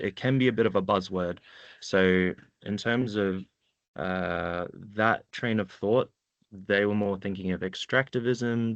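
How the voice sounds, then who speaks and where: A man's voice: low-pitched, dry recording, muffled recording. A man with a New Zealand accent giving an informal slide presentation, laid-back and slangy in his way of talking. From central North Island, New Zealand. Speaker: webinar presenter, environmental data worker.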